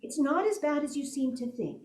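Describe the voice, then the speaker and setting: A woman's voice: high-pitched, expressive, a little fast, a little mellow. A woman playing a loving, earnest woman who coaxes and pleads, in 1940s radio melodrama style. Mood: pleading, tender. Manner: earnest, coaxing. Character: loving, pleading woman urging a fugitive to surrender. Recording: roomy, echoing stage sound.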